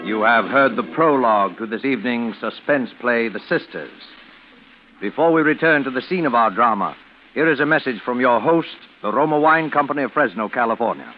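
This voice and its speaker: A man's voice: medium pitch, a little fast. A man announcing a 1940s suspense radio show in a formal, dramatic, scripted style. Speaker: program announcer. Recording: muffled old radio broadcast, music behind.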